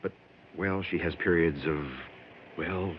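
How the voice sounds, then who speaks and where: An actor playing a polite, controlling husband, solicitous and measured, in a vintage radio drama. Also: male voice, low-pitched, steady tone. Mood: confiding, uneasy. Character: genteel, controlling husband, solicitous toward his wife. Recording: muffled, faintly noisy old radio broadcast.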